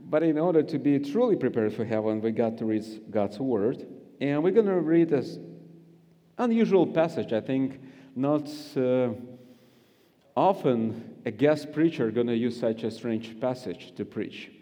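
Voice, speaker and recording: Russian-accented man preaching, slow and mellow. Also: medium pitch, expressive, long reverb tail. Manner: friendly, joking, halting with many fillers. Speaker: guest preacher. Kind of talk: sermon with scripture reading.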